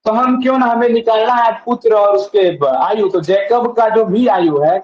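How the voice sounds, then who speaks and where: A man with a high voice teaches maths, walking through each step by asking a question and answering it himself. He says the key points more than once. The sound is dull and lo-fi, with an echo from the room. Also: moderate pace. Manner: asks and answers his own questions, repeats steps. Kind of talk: classroom maths lesson.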